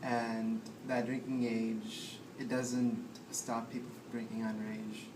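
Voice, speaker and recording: A young man born in India and raised in the US, a student giving a halting casual interview answer with frequent ums. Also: fairly low pitch, little pitch movement. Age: young adult. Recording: echoey room with background noise.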